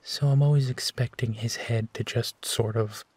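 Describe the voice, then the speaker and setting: A man playing a gentle, protective werewolf boyfriend in an intimate bedtime roleplay audio, speaking softly and soothingly with hesitant pauses. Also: medium pitch, steady tone, husky, dry recording, close to an everyday voice. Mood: gently amused. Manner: soft, soothing, hesitant pauses, often drops to whispering. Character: gentle protective male werewolf boyfriend comforting his partner.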